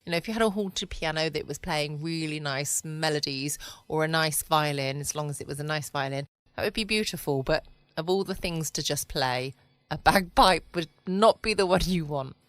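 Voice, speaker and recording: A woman's voice: low voice, normal speed, no echo. A woman hosting a casual bedtime podcast from home, chatty and rambling. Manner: chatty, rambling, light laughs. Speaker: podcast host.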